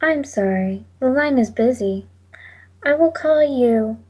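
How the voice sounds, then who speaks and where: An actress playing an impassive telephone operator, speaking with measured, formal politeness in 1940s radio drama style. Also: female voice, fairly high pitch, expressive, darkish tone, husky, exaggerated voice. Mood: detached, polite. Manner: measured, detached, scripted politeness.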